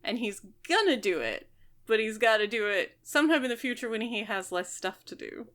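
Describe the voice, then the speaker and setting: A woman's voice: fairly high pitch, sing-song, brisk, fairly bright tone. Analytical woman co-hosting a casual book podcast. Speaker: podcast co-host.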